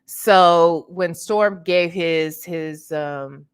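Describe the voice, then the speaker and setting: A woman's voice: on the low side, very slow, clear, resonant tone. Chatty, congested woman livestream host. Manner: chatty, greets viewers by name. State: congested, clearing her throat. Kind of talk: casual livestream chat.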